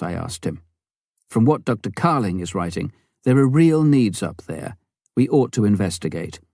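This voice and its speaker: A man's voice: lowish voice, darkish tone, no echo, studio quality. A man narrating a memoir as a scripted audiobook reading.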